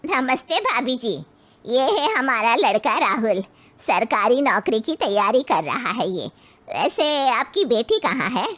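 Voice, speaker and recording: Woman as a demanding mother-in-law, high voice. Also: sing-song, measured pace, fairly bright tone, heavily acted. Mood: polite, probing. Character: demanding, old-fashioned middle-aged mother-in-law. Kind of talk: cartoon story dialogue.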